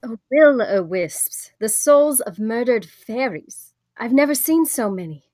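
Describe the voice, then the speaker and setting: A woman plays a female fantasy adventurer, brisk and wry in manner. Her voice sits fairly high and is recorded very dry, in the plain style of a table read. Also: animated, darkish tone, no room sound. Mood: gentle.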